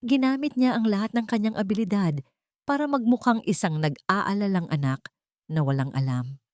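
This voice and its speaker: A woman's voice: medium pitch, animated, moderate pace, a little mellow, no room sound, studio quality. A woman with a Filipino accent narrating a scripted dramatic story.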